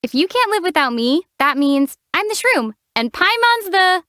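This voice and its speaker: Young game heroine, high voice, dry studio sound, deadpan and teasing. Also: female voice, normal speed, no room sound, heavily acted. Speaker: player character, traveler heroine. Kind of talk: game voice acting.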